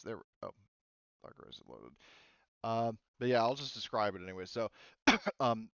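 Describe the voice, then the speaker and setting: A man's voice: fairly low pitch, a bit bright, clean tone, no room sound, lo-fi sound. A man running an online tabletop roleplaying game as game master, talking casually in a hesitant, rambling way with trailing thoughts.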